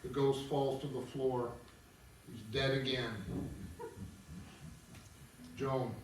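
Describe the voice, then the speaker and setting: A man's voice: medium pitch, flat delivery, takes it slowly, a little hiss or hum. A man reading stage directions aloud as the narrator of a musical table read. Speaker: narrator and singer of a self-written opera. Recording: distant, very echoey room with music.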